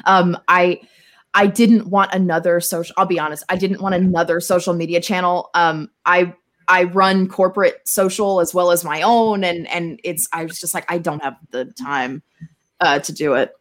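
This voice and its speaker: A woman's voice: fairly low pitch, steady tone, moderate pace, a bit bright. A woman chatting casually as a podcast guest, speaking in a candid, earnest way. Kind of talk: casual chat.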